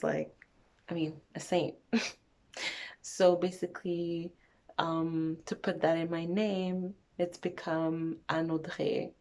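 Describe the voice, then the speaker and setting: A woman's voice: on the low side, a little mellow. A young woman from Montreal chatting frankly in a get-to-know-me vlog, wandering off on tangents. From Montreal, Canada. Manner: rambling, frank, goes off on tangents. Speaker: vlogger. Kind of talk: casual get-to-know-me chat.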